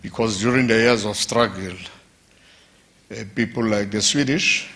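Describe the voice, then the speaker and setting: A man from giving a formal speech at an event, measured and pausing. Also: fairly low pitch, pushed and bright. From South Africa. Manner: slow, measured, with pauses and fillers. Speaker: foundation representative. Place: conference hall.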